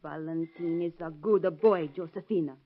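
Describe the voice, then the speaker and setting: A woman's voice: low-pitched, normal speed. Anxious grandmother, muffled old radio. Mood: gently reproachful. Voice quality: broken English with extra syllables. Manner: gently nagging, concerned. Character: anxious, caring immigrant grandmother and mother-in-law. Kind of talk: 1930s radio drama acting. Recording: muffled vintage 1930s radio recording.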